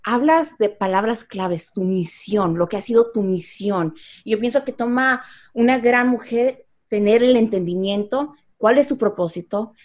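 Woman, interviewer, mid pitch, admiring, effusive. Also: darkish tone. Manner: admiring, effusive, spiritual framing. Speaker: interviewer, host. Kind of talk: conversational interview.

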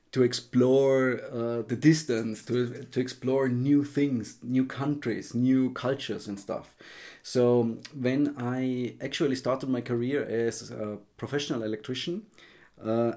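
A man's voice: mid-range voice, mellow. A man from western Austria, a native German speaker, telling his story as an interview guest, hesitant and searching for words. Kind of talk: casual interview chat.

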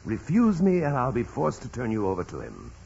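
An actor playing a stern, sceptical imperial prosecutor, husky and formally interrogating, in a 1940s radio drama. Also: male voice, mid-range voice, wildly swooping pitch, a little fast, a little mellow, exaggerated voice. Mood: stern, pressing. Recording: dull vintage radio broadcast.

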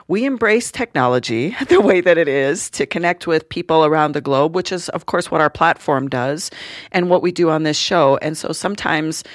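A woman's voice: deep voice, expressive, normal speed, no echo, studio quality. A woman hosting a podcast interview in a casual, affirming, informal way. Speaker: podcast host.

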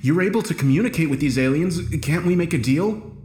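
A man's voice: a little high, expressive, fairly quick, a little mellow, soft background music, pitched above the performer's own voice, natural voice. A man playing a desperate, defiant male captive in an audio story, urgent and pleading.

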